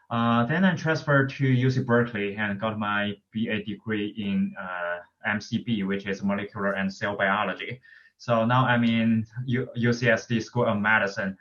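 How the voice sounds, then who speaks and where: A man from China, a medical student whose first language is Chinese, speaking on a video call panel, halting with frequent fillers. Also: on the low side, a bit bright, lo-fi sound. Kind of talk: casual panel talk.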